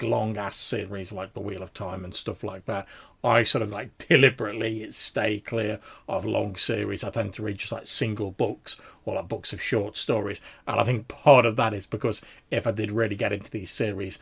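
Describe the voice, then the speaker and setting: A man hosting a podcast, casually responding to listener messages in a husky, gravelly voice. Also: lowish voice, a bit bright. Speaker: podcast host.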